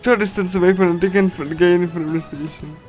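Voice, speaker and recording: A man reading a visual novel on a casual stream, halting and repeating himself, giggly as he comments. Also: high voice, fairly even intonation, darkish tone. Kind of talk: casual game readthrough with translation and commentary. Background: game music.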